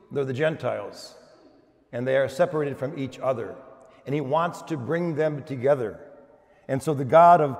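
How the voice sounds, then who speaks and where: A man's voice: mid-range voice, a little mellow. Older male preacher in a reverberant hall. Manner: emphatic repetition with deliberate pauses. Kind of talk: homily to a congregation. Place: large church indoors, at the pulpit.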